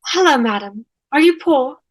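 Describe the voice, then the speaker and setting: A woman's voice: high-pitched, lively intonation, normal speed. A woman reading a polite, breezily tactless rich woman in a sitcom table read. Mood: gracious.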